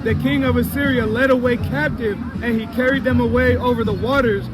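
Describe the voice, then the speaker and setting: A man reading scripture aloud on the street in slow, declaimed phrases. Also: very high-pitched, fairly even intonation. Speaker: scripture reader. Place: outdoors on an urban street. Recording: very noisy street with loud crowd. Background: crowd, traffic noise.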